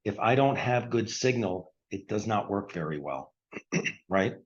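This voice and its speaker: Man in his sixties, throat-clearing explainer. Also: fairly low pitch, expressive, unhurried. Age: 60s. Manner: plain explanatory talk with frequent throat clearing. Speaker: retired surgeon presenting a health product. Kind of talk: explanatory product presentation. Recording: dull lo-fi recording.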